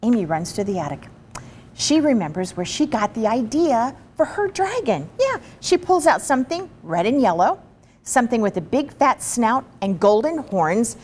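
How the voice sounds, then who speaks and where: A woman giving an expressive, chatty and encouraging read-aloud of a children's picture book, with asides to the listeners. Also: fairly high pitch, moderate pace, slight background noise. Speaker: story reader.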